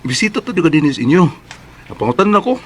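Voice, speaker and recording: A man's voice: a little high, sing-song, fast. An actor playing a young male visitor who stumbles over his words. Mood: flustered.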